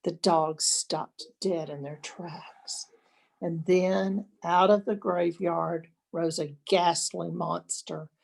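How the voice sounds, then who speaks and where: An older woman, a grandmother, reading a children's picture book aloud expressively, with deliberate dramatic pauses. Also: lowish voice, steady tone, gravelly, darkish tone.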